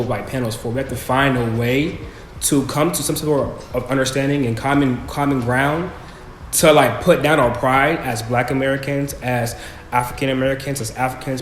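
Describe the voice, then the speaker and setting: A young man speaking on a casual student panel at an ordinary pitch. He talks earnestly and keeps checking that listeners follow him. The room is echoey, with a faint hiss of noise behind him. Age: young adult. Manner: earnest, keeps asking "you know what I'm saying".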